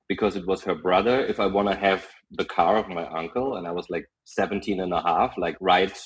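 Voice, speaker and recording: A young man with a German accent, a podcast guest telling lively stories in casual chat, full of fillers. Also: on the low side, low-quality recording. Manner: animated storytelling, frequent fillers and laughs.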